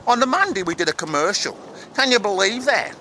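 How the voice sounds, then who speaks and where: A man playing a brash, excitable, matey Australian actor with an Australian accent and plenty of slang, in a vlog monologue. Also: high voice, fairly quick, a little hiss or hum, full character voice, low-quality recording.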